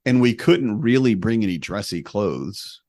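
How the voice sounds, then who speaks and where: A man tells a story in relaxed conversation, lively and poking fun at himself. His voice sits on the low side. The sound is dry and close, with no room echo. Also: measured pace. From United States. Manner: animated, self-deprecating, humorous storyteller.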